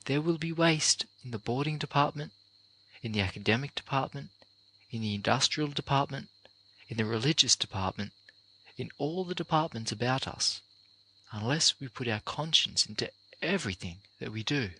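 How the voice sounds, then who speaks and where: A man narrating an audiobook of a motivational address, reading in a deliberate, earnest, oratorical way with measured phrases. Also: medium pitch, lively intonation, sharp tone, slightly rough, low-quality recording.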